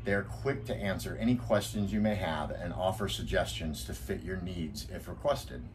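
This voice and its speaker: Deep-voiced man with background noise. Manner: relaxed, with frequent ums and uhs. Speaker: sailing vlog host. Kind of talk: casual product review and vlog narration. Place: outdoor backyard patio.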